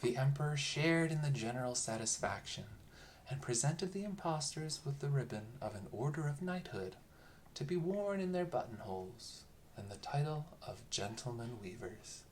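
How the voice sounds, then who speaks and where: Bedtime story narrator, mid-pitched, soothing and liltingly expressive, some background noise. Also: normal speed. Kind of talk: bedtime fairy-tale narration.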